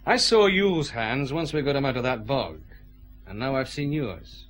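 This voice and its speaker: An actor with a Scottish accent playing a shrewd, methodical detective chief inspector who lays out his accusations deliberately in a radio drama. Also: medium pitch, expressive, soft background music, natural voice. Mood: stern, triumphant. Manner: measured, accusing, deliberate interrogation.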